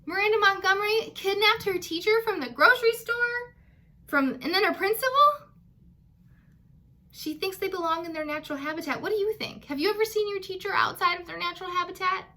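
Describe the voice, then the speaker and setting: Children's librarian, female, American. High-pitched, expressive storytime read-aloud, engaging young listeners directly. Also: fairly quick. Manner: animated, asks listeners questions.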